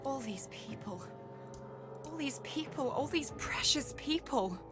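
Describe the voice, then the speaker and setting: A woman playing a troubled young female adventurer in a video game, halting and emotional. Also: high-pitched, lively intonation, over music. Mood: anguished. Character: anguished young woman adventurer wrestling with guilt.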